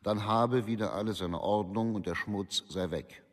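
Actor as detached official in a reverberant hall. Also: male voice, lowish voice, close to an everyday voice. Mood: detached, formal. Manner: detached, formal, deliberate quote marking. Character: formal official reading out an interrogation record. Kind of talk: scripted reading of a record.

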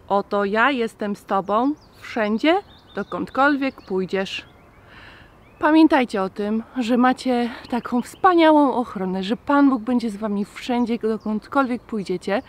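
A woman's voice: on the high side, animated, a little slow, a little mellow. A woman in her thirties presenting a children's puppet show outdoors, talking simply and directly to the children. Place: outdoors in a garden.

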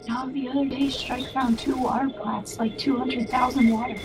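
A woman talking quietly with friends in voice chat during a space game. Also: high voice, level tone, normal speed, background noise. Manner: soft, quiet talk. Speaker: gamer in voice chat. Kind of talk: casual gaming chat. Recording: game music behind.

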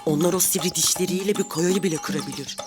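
A woman's voice: fairly low pitch, fast talker, natural voice. A woman voicing a timid, good-natured young elephant in a children's cartoon, with fretful, exaggerated delivery. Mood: anxious. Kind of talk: animated children's cartoon dialogue. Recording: music bed behind the voice.

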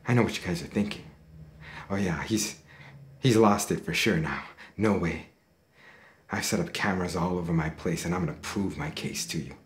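An actor playing a sleepless, paranoid young man in a horror drama, speaking in a halting, agitated, sweary way. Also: sits low, natural voice. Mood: weary, unsettled. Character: sleepless, paranoid, foul-mouthed young man haunted at home. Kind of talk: found-footage horror film acting, confessional to camera. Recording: roomy echo, faint background noise.

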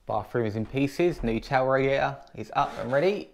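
A man's voice: mid-range voice, lively intonation, a little fast, gravelly. A man in his thirties with an English accent, giving an enthusiastic casual walkthrough of his home renovation in an empty room, filmed on an action camera. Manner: enthusiastic, upbeat.

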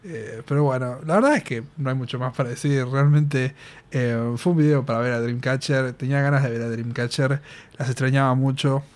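A man's voice: medium pitch, brisk. A man in his thirties with an Argentine Spanish accent, giving casual, affectionate fan commentary as a reaction host, close on a studio microphone. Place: small indoor home studio. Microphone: close studio microphone on boom arm. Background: music.

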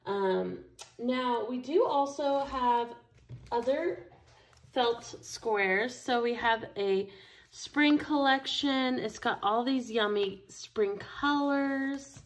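An enthusiastic woman walks through a craft project at her table, her voice a touch higher than most and roughened with a gravelly fry. She chats casually and warmly about each step as she works. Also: slow. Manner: chatty and enthusiastic, talks while working with her hands. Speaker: craft store instructor. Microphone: overhead camera, only her hands seen.

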